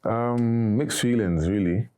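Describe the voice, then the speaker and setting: A young man, a former reality show contestant, answering interview questions in a casual, monotone way. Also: on the low side, slow, slight background noise. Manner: monotone, not very animated.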